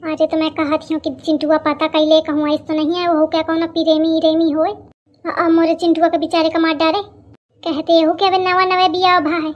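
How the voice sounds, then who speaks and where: A woman's voice: extremely high pitch, level tone, moderate pace, dull audio. A woman voicing a plump middle-aged village mother-in-law in an Awadhi cartoon comedy, scolding, gossipy and suspicious, with an Awadhi accent. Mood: suspicious, nagging.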